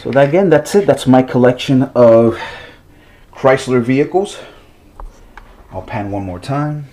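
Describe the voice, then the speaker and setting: A man's voice: mid-range voice, lively intonation, a little slow, warm tone, slight background noise. A man with a stuffy nose showing his toy car collection at home in a rambling, chatty way, full of fillers and restarts. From Massachusetts, USA. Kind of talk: casual vlog.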